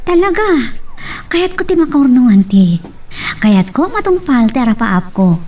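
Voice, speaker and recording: A woman's voice: fairly high pitch, big pitch swings, unhurried, a little mellow. A woman playing an earnest, hopeful young office clerk in an audio love drama, speaking with an Ilocano accent. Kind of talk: Ilocano radio-style love drama acting. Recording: distant mic with faint noise.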